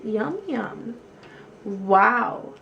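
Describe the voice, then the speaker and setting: A woman voicing a kindly, appreciative male schoolteacher in a storybook, in a gentle, admiring manner. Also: a little high, lively intonation, very slow, gravelly, highs cut off, full character voice. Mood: quiet amazement.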